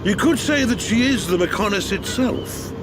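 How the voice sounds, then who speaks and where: A man acting a kindly, ancient machine-race village chief in a video game, with a measured, theatrical storytelling manner. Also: sits high, lively intonation, rough recording. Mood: wistful. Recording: game audio with music bed.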